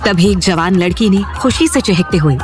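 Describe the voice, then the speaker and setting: A woman's voice: medium pitch, animated, normal speed, over loud music. A woman narrating an audio drama story in a dramatic, scripted storytelling style.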